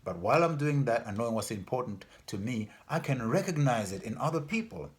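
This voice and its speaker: A man who grew up in Denmark, speaking earnestly as a festival organizer in an informal appeal, repeating himself and pausing with fillers. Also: mid-range voice, expressive. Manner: earnest, repetitive, loses his thread with fillers.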